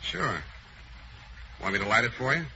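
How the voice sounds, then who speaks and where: A man playing a tired, hard-boiled private detective in a 1940s radio comedy, trading dry, deadpan wisecracks. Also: medium pitch, normal speed, vocal fry, muffled recording. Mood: weary, deadpan. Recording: hissy 1940s radio broadcast.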